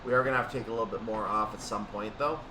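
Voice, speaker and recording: A man's voice: racing, recorded from a distance. Roomy, off-mic man doing gameplay commentary. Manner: explanatory, thinking aloud. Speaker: gaming video host. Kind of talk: unscripted gameplay commentary on a train simulator. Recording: roomy, off-mic, steady hum and rumble.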